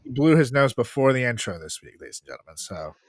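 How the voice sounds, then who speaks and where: Laughing podcast co-host, male, likely 30s, medium pitch, dry close sound. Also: very animated, fast talker, dry recording. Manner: joking, laughs often, hypes the show. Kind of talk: casual chat.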